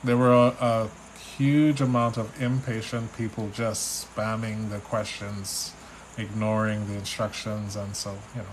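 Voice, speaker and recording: A man from Trinidad with a Trinidadian accent, a spiritual reader on a live stream, relaxed and chatty as he reads out viewers' questions. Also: on the low side, a little slow, a little hiss or hum, low-quality recording. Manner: relaxed, chatty, reads names and questions aloud, restarts often. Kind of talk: live stream reading, answering chat questions.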